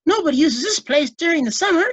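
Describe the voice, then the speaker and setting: A woman's voice: high-pitched, lively intonation, quick pace, dry recording, pitched above the performer's own voice. A woman voicing an earnest young boy who eagerly pleads for his pet. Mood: whispering.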